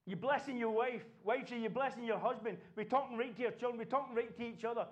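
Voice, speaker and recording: High-voiced impassioned male preacher. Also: fast. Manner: impassioned preaching, rising to shouts. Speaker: pastor. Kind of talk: sermon. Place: church.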